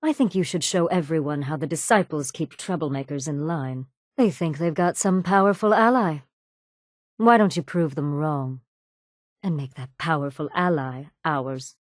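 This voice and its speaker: A woman's voice: lowish voice, darkish tone, no room sound, studio quality, close to an everyday voice. A woman voicing a ruthless raider gang leader in a video game, sardonic, commanding and menacing. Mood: quietly threatening. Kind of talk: video game character dialogue.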